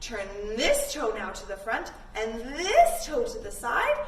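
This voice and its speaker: A woman's voice: high voice, wildly swooping pitch, unhurried. A woman from Canada guiding children through yoga poses step by step, with simple, encouraging instructions. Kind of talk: guided kids' yoga class following a story. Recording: echoey room, distant mic, background noise.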